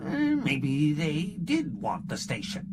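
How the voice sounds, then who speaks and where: A man's voice: on the high side, wildly swooping pitch, takes it slowly, voice pushed up, exaggerated voice. A man voicing a sly, greedy male Ferengi barkeeper who talks in a wheedling, sarcastic way. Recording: loud background noise.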